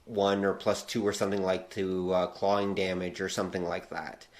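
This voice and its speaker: A man hosting a casual podcast discussion, talking in a measured, analytical, questioning way. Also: low voice, little pitch movement. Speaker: podcast host.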